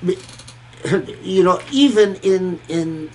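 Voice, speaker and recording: A male religious scholar lectures informally on scripture in a notably high voice. He speaks slowly and thoughtfully, halting often and repeating words as he works through each point. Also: animated. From Egypt and Kuwait. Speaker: religious scholar giving Quranic commentary.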